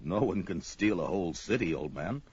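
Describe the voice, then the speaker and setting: An actor playing a young, wary, mercenary barbarian warrior with a bold, declamatory manner in an audio drama. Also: male voice, deep voice, expressive, moderate pace, breathy edge, no room sound, heavily acted. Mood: puzzled, gruff. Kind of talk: 1970s audio drama record acting. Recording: dull vintage story record with music.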